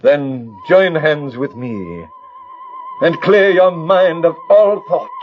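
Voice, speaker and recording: Casting: grave alien leader-scientist, high voice, theatrical declamation, old sci-fi radio serial with dull lo-fi sound. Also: male voice, slow, soft background music. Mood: commanding. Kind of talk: old-time radio sci-fi drama acting.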